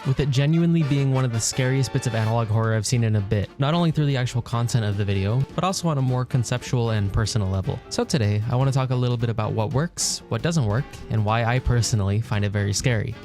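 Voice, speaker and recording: A man's voice: mid-range voice, fast, few pauses, a little mellow, faint music behind. A man narrating a scripted video essay in an informal, wry way with casual asides and mild swearing. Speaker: video essayist, channel host.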